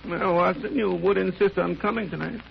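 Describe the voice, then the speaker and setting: An actor playing a cool, brisk English gentleman detective in the style of 1930s radio drama. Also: sits high, steady tone, gravelly. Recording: muffled, very noisy 1930s radio recording.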